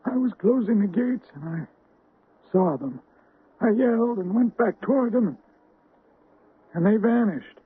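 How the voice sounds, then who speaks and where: An actor playing a shaken, halting cemetery groundskeeper in a radio drama. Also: male voice, high voice, animated, slight background noise. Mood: uneasy, hesitant. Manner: halting, hesitant. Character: shaken male cemetery groundskeeper reporting strange sights. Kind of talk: 1970s radio drama acting. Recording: muffled phone-like old radio recording.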